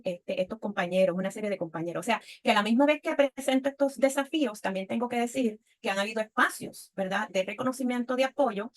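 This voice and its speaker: A woman with a Puerto Rican accent speaks as a panelist in a fairly high voice. Her delivery is reflective and personal, with frequent little questions to check that listeners follow. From Puerto Rico. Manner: reflective, often tags phrases with a checking question. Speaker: panelist, women's leadership speaker.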